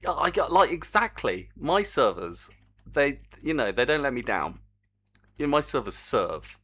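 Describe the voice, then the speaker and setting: A man's voice: mid-range voice, sing-song, a little slow, fairly bright tone, slightly rough. A man streaming his game development work, chatting to viewers in a rambling, self-mocking way. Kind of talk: casual live-stream commentary while coding.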